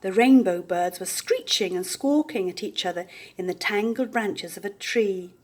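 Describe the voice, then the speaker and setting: An older woman reading a children's picture book aloud with deliberate, theatrical expression. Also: mid-range voice, a little mellow.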